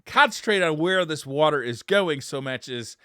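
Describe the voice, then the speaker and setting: A man co-hosting a podcast in casual chat, joking and swearing freely in an animated way. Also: fairly high pitch, moderate pace, clean tone, dry recording, studio quality. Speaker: podcast co-host.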